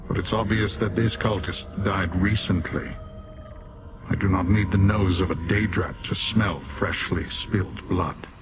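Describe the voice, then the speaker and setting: An actor playing a haughty, disdainful demon warrior in a fantasy video game, measured, formal and scornful. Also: male voice, low voice, music in the background. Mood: measured, analytical.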